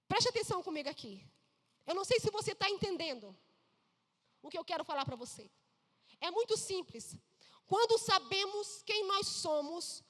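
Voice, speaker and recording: A woman's voice: extremely high pitch, a bit bright. A woman pastor with a Brazilian accent preaching emphatically, repeatedly calling for responses from the congregation. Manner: emphatic, calls for audience responses. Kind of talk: sermon. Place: church. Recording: reverberant church hall.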